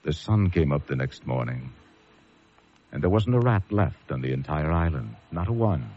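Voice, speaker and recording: An actor playing a haunted former lighthouse keeper, telling a horror story with suspenseful pauses in a radio drama. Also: male voice, extremely low pitch, sing-song, heavily acted. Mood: grim, chilled. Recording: muffled old radio broadcast over loud music.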